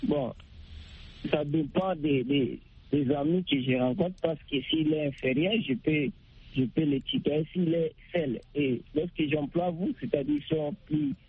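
Husky man on a tinny phone line. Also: a little high, expressive, a little slow. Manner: hesitant, repeats words. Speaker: caller. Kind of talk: phone-in call.

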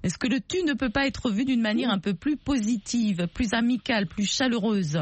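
A woman's voice: a little high, normal speed, breathy edge, no echo. A woman hosting a call-in radio show, asking probing questions and rephrasing them when unclear.

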